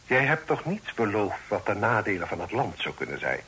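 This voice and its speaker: An actor playing a grave middle-aged father and magistrate in a radio play, with a husky voice and solemn, measured, formal delivery. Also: lowish voice, big pitch swings, sharp tone, slight background noise, full character voice. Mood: grave, probing. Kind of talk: Dutch radio play acting.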